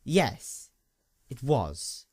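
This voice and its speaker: A man's voice: mid-range voice, big pitch swings, extremely slow, a little mellow, slightly rough, no room sound, clean studio sound, exaggerated voice. A man voicing an embittered, hollowed-out female pony in a dramatic story, brooding and intense. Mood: subdued, resolute.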